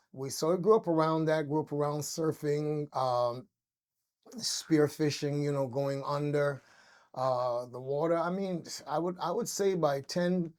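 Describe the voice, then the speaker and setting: A man's voice: on the high side, a little mellow. An older man from Barbados, an interview guest telling stories in a loose, rambling way with many fillers. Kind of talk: casual interview storytelling.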